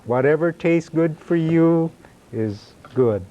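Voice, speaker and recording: A man's voice: fairly high pitch, takes it slowly, dark tone. A man hosting a call-in show, taking callers in a friendly way. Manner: friendly, repeats call-in prompts. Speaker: host. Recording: dull lo-fi broadcast recording.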